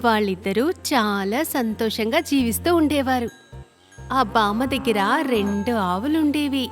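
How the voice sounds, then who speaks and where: High-voiced woman narrating a children's story. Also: normal speed, faint music behind.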